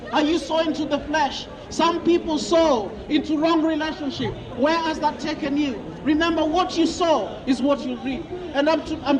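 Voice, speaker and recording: High-voiced street preacher in a crowd. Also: male voice. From Kenya. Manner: repeats questions to passers-by, quotes scripture. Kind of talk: open-air street preaching. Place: outdoors in a busy city square. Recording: lo-fi outdoor recording with crowd noise.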